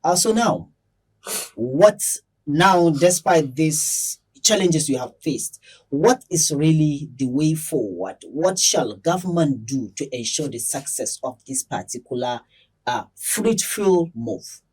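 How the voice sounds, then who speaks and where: A man's voice: fairly high pitch, lively intonation, slow, darkish tone, slightly rough. A man with a Nigerian accent hosting a broadcast interview, often affirming and echoing what he hears. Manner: frequent affirming interjections, echoes guest's words. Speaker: radio and TV interview host.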